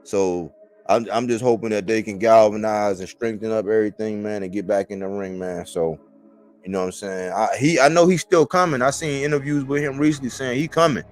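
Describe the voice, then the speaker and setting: A man's voice: lowish voice, fairly even intonation, brisk, faint music behind. A man in his forties talking casually as a sports commentator on a live stream, in colloquial language and repeating phrases for emphasis.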